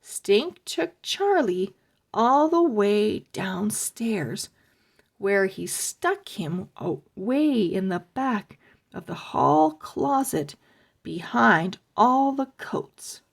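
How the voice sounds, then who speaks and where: A woman reading a children's book aloud in an expressive, deliberate storytelling manner. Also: medium pitch, gravelly. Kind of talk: read-aloud storytelling for kids.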